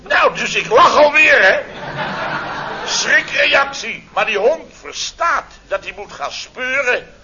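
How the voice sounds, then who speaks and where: A man's voice: very high-pitched, animated, slow pace, exaggerated voice. An actor playing a blustering, sarcastic middle-aged contractor boss, telling his mishaps theatrically in a radio comedy serial. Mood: exasperated, ironic. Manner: theatrical, exclamatory, sarcastic storytelling. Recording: dull, noisy old radio recording.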